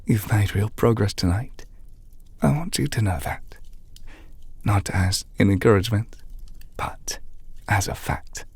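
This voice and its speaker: A very breathy, half-whispered male voice with a creaky edge, recorded close and dry. A man plays a gentle magic teacher in an ASMR roleplay. He speaks in measured, slightly formal phrases, patient and reassuring toward his apprentice. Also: fairly low pitch, no echo, full character voice. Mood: warm reassurance. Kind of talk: ASMR roleplay, softly spoken to the listener. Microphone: close mic, dry.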